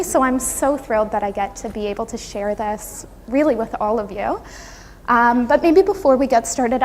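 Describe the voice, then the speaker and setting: A woman with a soft voice giving an earnest, gracious conference talk, laying out her points carefully. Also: fairly high pitch. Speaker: conference presenter, occupational therapist. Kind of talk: prepared conference talk to an audience. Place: conference room, speaking to an audience. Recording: echoey hall with audible background noise.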